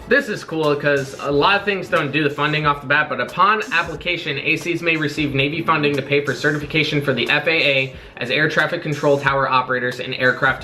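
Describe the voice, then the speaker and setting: Bright, forceful young American man. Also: fairly high pitch, slight background noise. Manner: informal, jokey asides. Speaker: video creator, Navy corpsman. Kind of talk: casual informational vlog, partly reading aloud.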